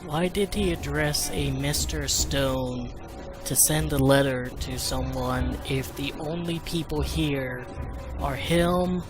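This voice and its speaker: A man's voice: a little high, slow. A man hosting a gaming video, giving casual, wry, joking commentary as he plays. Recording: loud game music behind the voice.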